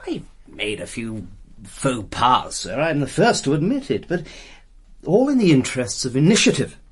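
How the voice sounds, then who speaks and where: An actor playing an ambitious, stubborn British army officer and helicopter pilot in a radio drama, with an intense, persuasive manner. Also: male voice, mid-range voice, big pitch swings, moderate pace, husky. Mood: defensive, eager.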